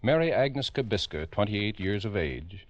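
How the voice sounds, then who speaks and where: A man with an American accent narrating a 1950s radio true-crime drama as host, in a grave, emphatic, deliberate way. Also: fairly low pitch, expressive, no room sound. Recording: dull old radio recording.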